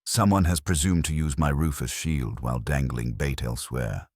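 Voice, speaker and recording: A man voicing an imperious, brooding genius detective in an audiobook, speaking in a pompous, formal, deliberate way. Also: rumbling low, breathy edge, no room sound, clean studio sound. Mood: stern, indignant. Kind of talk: audiobook character acting.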